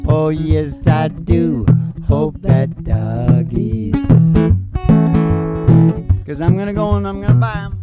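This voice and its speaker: Male country show host, high voice, unhurried folksy delivery, sung or spoken, playful and rambling. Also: animated. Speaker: singing host of a country music and comedy show. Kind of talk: acoustic country singing and folksy radio banter. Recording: live guitar accompaniment, loud music. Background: acoustic guitar.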